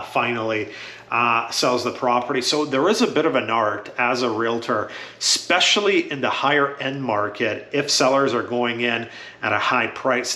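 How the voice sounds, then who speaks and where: A man whose first language is Croatian, with a bright, forceful voice and a medium pitch. He talks to camera like a real estate agent, steady and matter-of-fact, with lots of "uh" pauses. The room around him is roomy and echoey. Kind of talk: casual talk to camera.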